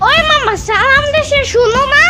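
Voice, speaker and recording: A woman voicing a cheeky, eager little boy in a cartoon who talks in a childlike way and squeals when excited. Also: very high voice, normal speed. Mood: demanding. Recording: music bed under the voice.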